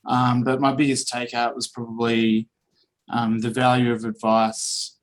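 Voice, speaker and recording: Guy on a call, flat even voice, lots of ums, reflective. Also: medium pitch, measured pace, low-quality recording. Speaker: financial advisor. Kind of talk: casual video-call feedback. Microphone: video call.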